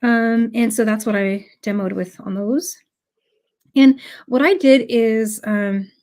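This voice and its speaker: A woman's voice: medium pitch, a little mellow. A woman with a cold teaching a live beading class, chatty and full of ums as she greets viewers. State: has a cold. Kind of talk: casual live tutorial.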